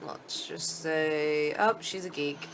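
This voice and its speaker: Low-pitched woman narrating a game demo. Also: takes it slowly, music in the background, low-quality recording. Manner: informal, thinking aloud while clicking through. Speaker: gaming video host. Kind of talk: casual game demo commentary.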